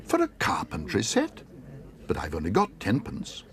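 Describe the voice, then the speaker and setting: A man voicing a small, polite, excitable young bear who exclaims eagerly. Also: medium pitch, normal speed, gravelly, pitched above the performer's own voice. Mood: excited.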